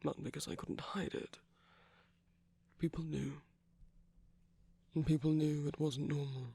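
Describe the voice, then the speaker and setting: Man voicing a breathy, creaky, tender villain. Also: fairly high pitch, level tone, exaggerated voice. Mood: wistful, confiding. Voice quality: breathy, husky, slightly creaky. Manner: measured, halting, hushed delivery with pauses. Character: gentle, wry villain magic user comforting a loved one. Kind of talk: soft intimate ASMR roleplay.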